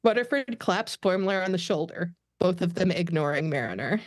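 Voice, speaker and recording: A woman's voice: on the low side, expressive, moderate pace, dry recording. A woman reading stage directions as scripted narration for a table read.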